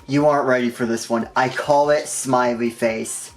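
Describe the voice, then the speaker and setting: A man's voice: mid-range voice, fairly even intonation, normal speed, faint music behind. A man doing scripted comedic narration of a visual novel, with sarcastic, dry joking asides. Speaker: game review video narrator.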